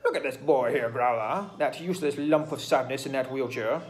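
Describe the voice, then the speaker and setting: A man voicing a posh, greedy, evil hunter in a bedtime storybook, speaking with loud, scornful glee. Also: medium pitch, normal speed, soft background music, close to an everyday voice. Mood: sneering. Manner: gloating, scornful, loud villain speech.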